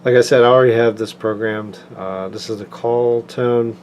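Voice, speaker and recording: A man's voice: medium pitch, normal speed, highs cut off. A man giving a casual, plain-spoken product demonstration in an informal walkthrough style. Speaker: product reviewer. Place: indoors, home tabletop recording. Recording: clear steady air-conditioning room noise.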